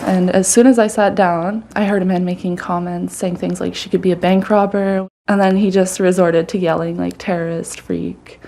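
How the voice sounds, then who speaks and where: A woman's voice: lowish voice, little pitch movement, moderate pace, creaky, few pauses, mellow. A woman in her twenties, interviewed for a news story, speaking casually and matter-of-factly. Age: 20s.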